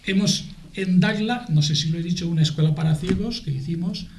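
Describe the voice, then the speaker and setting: A middle-aged man with an accent from Spain, explaining things in a TV debate in a halting way, repeating and rephrasing as he goes. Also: high voice, darkish tone, a little hiss or hum. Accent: Spanish from Spain. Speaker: guest, aid association spokesman. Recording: echoey room.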